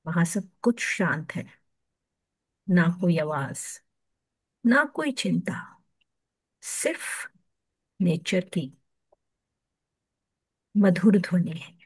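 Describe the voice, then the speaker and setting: Female meditation guide, Indian accent, very slow and soothing, dry close sound. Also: fairly low pitch, animated, husky, no echo, rough recording. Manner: soothing, hushed at phrase ends, with long pauses.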